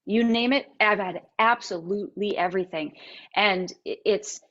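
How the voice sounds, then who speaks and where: Guest, female, 30s, faintly raspy voice, lively storyteller, dull lo-fi audio. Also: medium pitch. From Canada and the United States. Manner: animated storytelling with quoted voices and halting restarts. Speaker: interview guest, singer-songwriter. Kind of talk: candid interview conversation.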